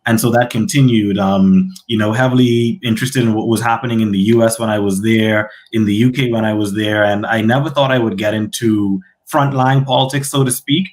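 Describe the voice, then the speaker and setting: A man's voice: on the low side, steady tone, a little fast, few pauses. A man, giving articulate, polite interview answers as a guest. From the Bahamas. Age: 30s. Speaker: guest, senator and attorney.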